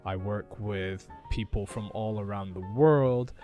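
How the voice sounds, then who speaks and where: Slow, calm mild-Scottish male teacher. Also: lowish voice, dull audio, faint music behind. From northeast Scotland. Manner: calm, careful, deliberate explanation. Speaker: English teacher. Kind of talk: slow, clear teaching monologue.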